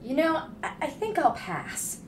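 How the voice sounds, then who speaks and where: A woman's voice: sits high, wildly swooping pitch, unhurried, roomy sound, noisy background, full character voice. Woman acting a frightened little girl, swooping voice. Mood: timidly defiant. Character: frightened little girl, hesitant but defiant. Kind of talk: hobby audio story reading. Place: hotel room. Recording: distant mic, busy hotel room.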